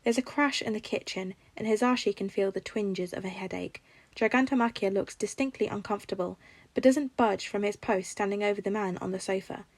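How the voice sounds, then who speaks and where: Woman reading fiction like an audiobook, bit of fry in her voice, no room sound at all. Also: medium pitch, a little fast, no room sound. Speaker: podfic narrator. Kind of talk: scripted fiction narration.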